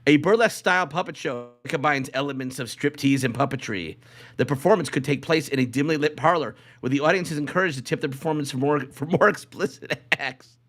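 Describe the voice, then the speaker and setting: A man's voice: a little high, fairly quick, a bit bright. A middle-aged man co-hosting a podcast in casual, rambling chat, circling back and repeating his phrases. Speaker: podcast co-host.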